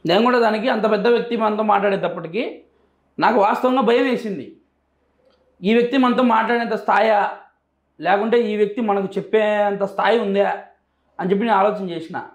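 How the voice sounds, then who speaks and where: Male interviewee, Rayalaseema Telugu accent, high voice, emphatic and repetitive delivery, roomy echo. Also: fairly quick, pauses often, muffled recording. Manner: emphatic, repeats phrases for emphasis. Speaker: interview guest. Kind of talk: answering interview questions. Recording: echoey, roomy sound.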